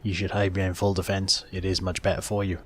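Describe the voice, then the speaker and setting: A man's voice: sits low, level tone, fast talker. A man giving plain-spoken, explanatory commentary on a video game as the channel's host.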